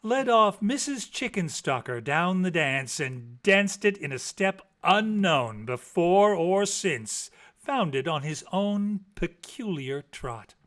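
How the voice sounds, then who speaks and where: A man reading a classic story aloud in a theatrical, expressive and deliberate literary style. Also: high voice. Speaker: storybook reader.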